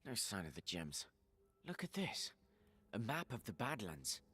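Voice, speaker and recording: A man playing a grim, urgent adventurer leading his party in a fantasy game, terse and decisive, with a husky voice. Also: fairly low pitch, huge pitch swings, unhurried, sharp tone, heavily acted. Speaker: game character, leader of adventurers. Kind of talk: fantasy video game cutscene acting.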